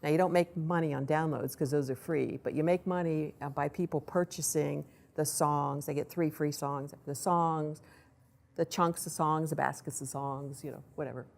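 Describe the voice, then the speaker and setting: A woman presenting a startup case study to an audience in a lively storytelling way, acting out what people said. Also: low-pitched, moderate pace, gravelly. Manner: lively storytelling, acts out quoted voices. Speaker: accelerator program director giving a presentation.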